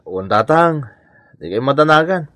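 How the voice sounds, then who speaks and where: An actor playing a hapless, easily startled village husband in a radio comedy drama, with comic exclamations and an Ilocano accent. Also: male voice, fairly high pitch, animated, normal speed, a bit bright. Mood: sheepish, obliging.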